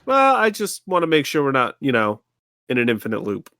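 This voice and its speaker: Male gamer, average pitch, casual table talk, very dry recording. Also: huge pitch swings, brisk, pushed and bright, no echo. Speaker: tabletop game player. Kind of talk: casual chat in game.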